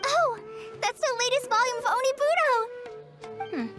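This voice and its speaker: A very high, timid young student. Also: female voice, a little slow, loud music behind the voice. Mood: nervous, polite. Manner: hesitant, nervous, apologetic. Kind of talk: anime video game voice acting.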